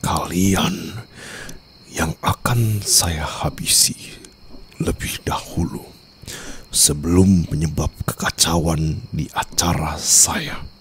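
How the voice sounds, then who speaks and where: A man playing a cold, domineering mafia boss and teasing new husband in an ASMR roleplay, speaking in a deliberate, menacing way. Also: rumbling low, a bit bright, slight background noise, full character voice. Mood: quiet menace. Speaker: roleplay voice performer.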